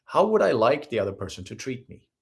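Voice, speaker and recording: A man's voice: mid-range voice, fast talker, gravelly. A man with a Swedish accent speaks to his listeners in an earnest, conversational way. His mid-range voice rises and falls a lot as he talks, and he keeps acting out short everyday scenes to make his points. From Sweden. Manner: earnest, animated, acts out little scenes in quotes. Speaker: spiritual healer and host. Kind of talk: live-streamed spiritual talk.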